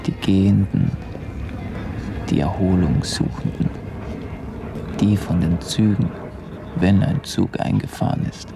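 A man in a literary radio play, playing a brooding observer who lists what is around him in halting fragments. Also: sits low, music in the background, exaggerated voice. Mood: observing. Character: male observer beside a lake, slow, brooding, dwelling on details. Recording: clear outdoor ambient noise behind voice.

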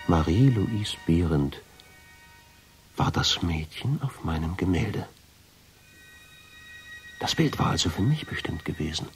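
An actor playing a brooding man who narrates a radio drama in the first person, measured and suspenseful. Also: sits low, darkish tone, husky, heavily acted. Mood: stunned, resolute. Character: brooding man recounting a mysterious family discovery.

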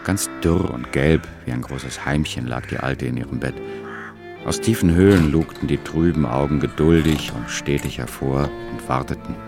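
A solemn male storyteller with an extremely low, creaky voice. He gives measured literary narration for a radio drama, with music playing behind him. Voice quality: gravelly.

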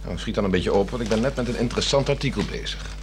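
An actor playing a stolid, irritable middle-aged husband with a dry, condescending manner in a 1960s Dutch radio drama. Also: male voice, fairly low pitch, expressive, racing, over music. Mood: irritated. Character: stolid, dull, irritable newspaper-reading husband. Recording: noisy 1960s radio recording with hum.

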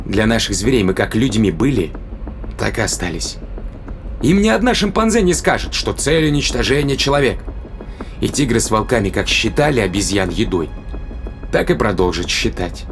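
A man's voice: fairly low pitch, very animated, normal speed, faint music behind. An actor playing a determined, risk-taking man in an audio drama, arguing insistently and persuasively with rhetorical questions. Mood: agitated, persuasive. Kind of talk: dramatic audio play acting.

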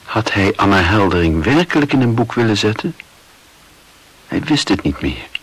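Expressive, husky male radio play narrator. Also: fairly low pitch, normal speed, rough recording.

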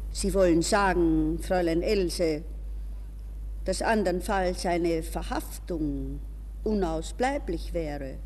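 An actor playing a suave, condescending older gentleman with measured, smooth courtesy, in a 1960s radio drama style. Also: high voice. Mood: cool, patronising. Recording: reverberant, hissy 1960s radio recording.

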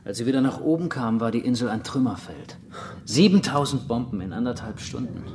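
An actor playing a practical, matter-of-fact islander who guides survivors in a German radio horror drama. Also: male voice, mid-range voice, lively intonation, fairly quick, darkish tone, a little hiss or hum, natural voice. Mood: explaining, sober. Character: practical male islander guiding survivors through dark tunnels.

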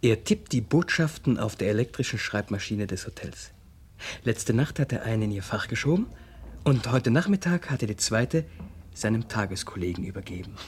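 An actor playing a wary, measured man in a radio crime drama, speaking formally and deliberately. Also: on the low side, lively intonation, a little hiss or hum, close to an everyday voice. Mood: matter-of-fact. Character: wary, measured man investigating a shady death.

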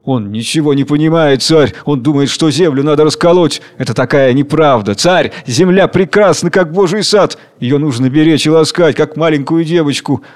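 A man acting a tender, excitable worker-poet who declaims in a pleading, dreamy way. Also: on the high side, normal speed, natural voice. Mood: excited.

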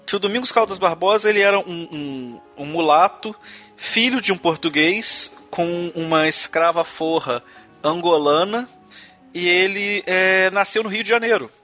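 A man's voice: high-pitched, moderate pace, pushed and bright, faint music behind. A Brazilian man hosting a podcast, chatting casually about history with frequent restarts and tag questions. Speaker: podcast host. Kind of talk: casual conversational chat on music history.

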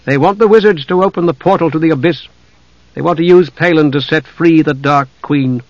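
A man's voice: on the high side, brisk, voice pushed up, natural voice. A man voicing a sombre, reluctant male friend with a careful, serious manner in a storybook reading. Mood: grave, reluctant. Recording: roomy, dull audiobook sound.

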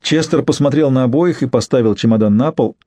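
A man's voice: mid-range voice, a little fast, dark tone, dry recording. A man narrating a novel as a scripted literary audiobook.

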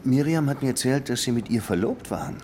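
An actor playing a weary, sardonic police detective in a German radio crime drama, speaking in a dry, probing way. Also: male voice, mid-range voice, expressive, a little fast, over music, lightly acted.

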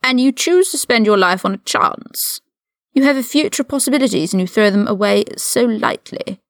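A woman voicing an older aristocratic male wizard prisoner, haughty and mocking, with measured, condescending, formal speech in an audiobook-style story reading. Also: a little high, creaky, no room sound, clean studio sound. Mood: intense, persuasive.

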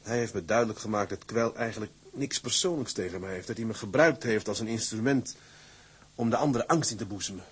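An actor playing a troubled, stubborn ship's mate and husband in a radio drama, earnest and halting. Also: male voice, on the low side, slightly rough. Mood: troubled, hesitant.

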